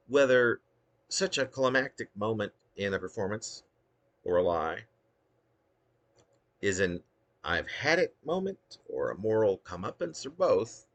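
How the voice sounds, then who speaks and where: Male poet, low-voiced and husky, for a wry, dramatic reading of humorous autobiographical verse. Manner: theatrical, wry, with emphatic swoops. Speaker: poet reading own work.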